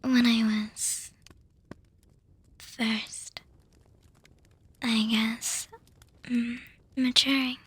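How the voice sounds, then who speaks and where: A refined, doting young vampire girlfriend, acted by a woman for an ASMR roleplay. Her voice is high and extremely breathy, mostly whispered, with very little melodic movement. She lingers over words with hesitant pauses and soft hums. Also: no echo, exaggerated voice. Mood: tender, hesitant. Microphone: close microphone, dry.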